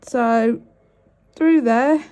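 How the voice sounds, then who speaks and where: A woman's voice: a little high, drawn-out words, a little mellow. A woman, sniffly with a cold, talking through each step of making a bracelet in a chatty, apologetic way. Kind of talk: crafting tutorial.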